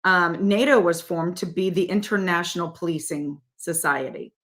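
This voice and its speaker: A woman's voice: on the low side, moderate pace, fairly bright tone. Insistent woman warning her listeners. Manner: insistent, rhetorical questions, direct address to viewers. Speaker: guest. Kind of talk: emphatic political interview talk.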